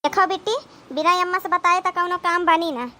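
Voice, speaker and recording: A woman's voice: extremely high pitch, normal speed, bright, forceful tone. A woman playing a scolding but protective village sister-in-law in an Awadhi comedy cartoon, exclamatory and rustic. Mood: worried, resigned. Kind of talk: dramatic comedy cartoon dialogue.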